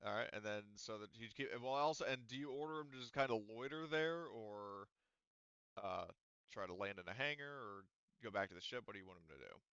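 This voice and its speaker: A man with a low, smooth voice runs a tabletop roleplaying game, describing scenes and rules with frequent ums and restarts. The recording is dry and somewhat dull, from a home mic. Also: expressive, brisk, fairly bright tone, dry recording. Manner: hesitant, filler-heavy explaining. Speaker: game master.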